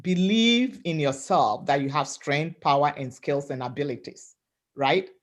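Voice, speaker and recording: A woman from Africa speaking on a webinar panel, giving an emphatic motivational talk in a loud voice over a video call and often asking "right?". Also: low-pitched, unhurried. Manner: loud voice, emphatic, repeats "right?" and "you know".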